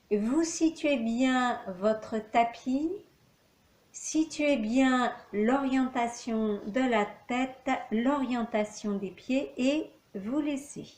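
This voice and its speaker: Very slow woman guiding deep relaxation. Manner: calm, measured instructions in short phrases with long pauses. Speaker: yoga nidra instructor. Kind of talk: guided relaxation meditation.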